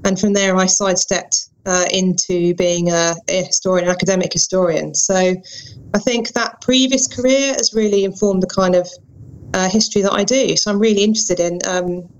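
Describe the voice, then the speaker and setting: A woman's voice: medium pitch, rough recording. Thoughtful woman historian. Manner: thoughtful, with many ums and restarts. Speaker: guest historian. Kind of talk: interview answers.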